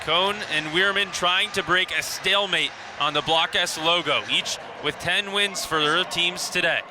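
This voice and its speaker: High-pitched young man calling sports. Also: measured pace. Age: 20s. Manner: clipped descriptive phrasing, excited on big plays. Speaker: play-by-play commentator. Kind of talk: live radio sports commentary. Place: outdoor lacrosse stadium. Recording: noisy outdoor broadcast with stadium ambience.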